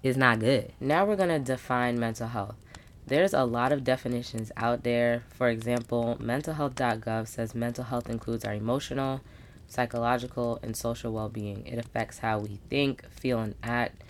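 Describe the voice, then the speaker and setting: A woman's voice: a bass voice, steady tone, moderate pace, slight background noise. A woman in her twenties hosting a solo podcast, talking in a casual, slangy, upbeat way with emphatic asides. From United States. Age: 20s. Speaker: podcast host.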